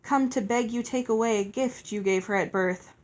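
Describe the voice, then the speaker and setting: A woman's voice: fairly high pitch, moderate pace, darkish tone, lightly acted. A woman voicing a dry, firm male companion of a fairy in a storybook.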